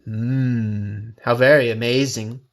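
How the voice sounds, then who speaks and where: A man's voice: mid-range voice, steady tone, slow pace, creaky, pitched below the performer's own voice. A man voicing a sly, hungry tiger in a storybook, with a polite, wheedling manner that hides his scheming. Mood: sly, curious. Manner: courteous, wheedling. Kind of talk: storybook character voice.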